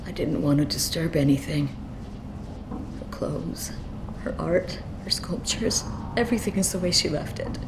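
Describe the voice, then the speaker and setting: A woman's voice: mid-range voice, lightly acted. An actress playing a grieving, bitter mother in a video game, tearful and desperate. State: distraught, tearful. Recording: clearly noisy game ambience.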